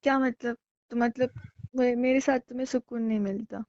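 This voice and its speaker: A clingy, jealous young girlfriend played by a woman argues in a fairly high voice. She is sulky, insistent and pleading, in a casual romantic call drama. The recording is slightly dull and lo-fi, like a phone call. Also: steady tone, normal speed, a little mellow, natural voice. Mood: sulky, hurt.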